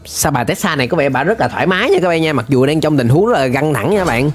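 Animated young southern Vietnamese man reacting. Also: on the high side, fast. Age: young adult. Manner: animated, exclaiming. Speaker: reaction streamer. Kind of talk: casual reaction commentary to a cartoon. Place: indoor streaming room. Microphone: close desk microphone, headset on. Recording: music playing behind the voice.

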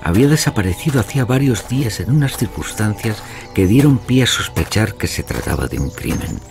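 A man's voice: lowish voice, sing-song, brisk, gravelly. An actor playing a self-assured, pompous male storyteller, narrating a mystery audio drama in theatrical style. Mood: grave, knowing. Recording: music behind the voice.

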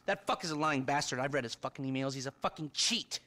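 An actor playing a sardonic middle-aged hacker who rants in quick, acerbic bursts in a game cutscene. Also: male voice, medium pitch, bright, forceful tone, lightly acted. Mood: ranting. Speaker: game character.

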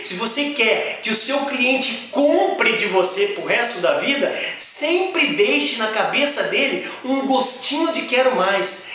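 A Brazilian man in his forties speaks like a motivational sales coach. He is emphatic and animated, drawing out key words. The sound is strongly echoey, picked up by a camera mic in a small room. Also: very high voice. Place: small indoor office with bare wall.

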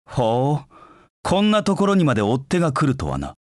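An actor voicing a proud, cold dark knight in a video game, with curt, haughty, disdainful delivery. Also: male voice, mid-range voice, wildly swooping pitch, fairly quick, no room sound, studio quality. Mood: cool, disdainful. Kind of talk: video game voice acting in short battle lines.

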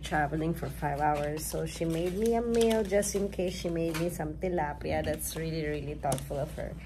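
A young woman chatting to her phone camera in a hotel room, gushing and tired, her voice trailing off softly at times. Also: low voice. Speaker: vlogger. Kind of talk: casual travel vlog. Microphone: phone at arm's length. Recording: noisy phone recording.

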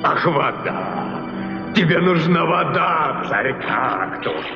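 A man voicing a big, vain water spirit king in a cartoon, blustering in a theatrical, drawn-out way. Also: fairly high pitch, sing-song. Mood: indignant. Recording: echoey dull film soundtrack with music.

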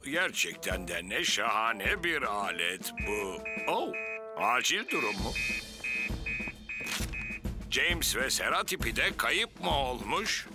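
An older cartoon officer with a mid-pitched, very animated delivery. He is gently pompous and deliberate, with music playing behind him. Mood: brisk, authoritative. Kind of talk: Turkish cartoon dub acting. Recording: music under the voice.

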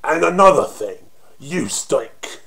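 A man voicing a cocky, flirty undead punk rocker monster for a game, with a raspy British accent and cheeky taunting slang. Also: a little high, very animated, slow pace, fairly bright tone, heavily acted. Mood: mocking. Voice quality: raspy, husky. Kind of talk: video game character voice demo.

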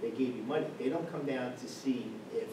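A man asking the board pointed questions, halting and restarting as he presses his case. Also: medium pitch. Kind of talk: municipal meeting discussion. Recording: echoey room, distant mic, noisy.

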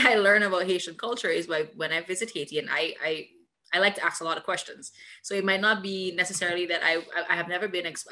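A young woman from Guyana moderating a video call discussion, lively and curious. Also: lowish voice.

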